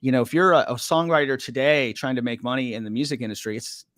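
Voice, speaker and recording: Relaxed man hosting, dry close sound. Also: medium pitch, fast talker, dry recording. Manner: relaxed, hesitant, many uhs, jokey asides. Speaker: podcast host. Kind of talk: casual podcast conversation.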